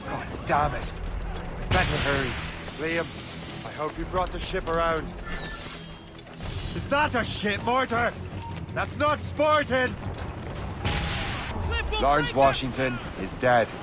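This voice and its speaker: An actor playing a brash, sardonic young assassin with a wry, questioning manner in a video game. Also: male voice, fairly high pitch, very animated, slow pace, long pauses, over music. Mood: agitated. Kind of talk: dramatic video game acting.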